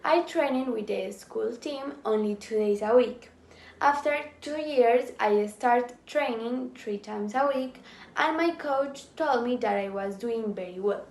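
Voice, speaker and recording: A woman interviewed as a volleyball player, giving prepared answers in a steady, measured way with a few hesitations. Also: a little high. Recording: echoey room, mic at a distance.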